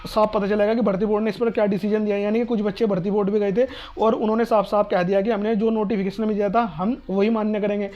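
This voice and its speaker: A North Indian man talks quickly in a high voice that stays on a narrow, even pitch. He explains things informally to students, repeating himself and reassuring them as an online exam-advice host would. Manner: repetitive, reassuring, asks and answers own questions.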